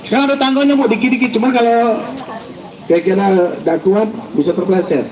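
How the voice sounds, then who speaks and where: High-voiced joking ustaz in echoey hall. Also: male voice, steady tone, fairly quick, crowd noise. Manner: joking, quizzes the audience. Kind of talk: humorous sermon to school students. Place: school event hall. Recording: echoey hall, background noise and traffic.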